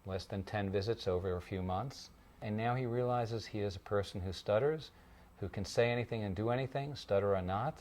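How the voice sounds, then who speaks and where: A male speech therapist narrates in a calm, measured voice-over. His voice sits very low. He explains things steadily and plainly.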